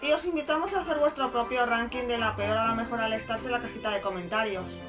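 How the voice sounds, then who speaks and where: Female, fairly high voice, scripted review narration, co-host, music clearly behind. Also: quick pace.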